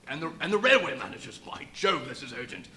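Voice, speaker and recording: An actor in a live radio play playing a flustered but dutiful police chief, urgent and blustering with hesitations, in an echoey venue. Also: male voice, fairly high pitch, sing-song, fast, sharp tone, a little hiss or hum, heavily acted. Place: live performance in a brewery venue.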